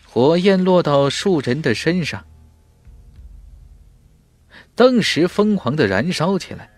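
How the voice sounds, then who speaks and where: A man's voice: fairly high pitch, very animated, moderate pace, no echo, soft background music. A man narrating a fantasy novel as an audiobook in an emphatic, dramatic storytelling manner.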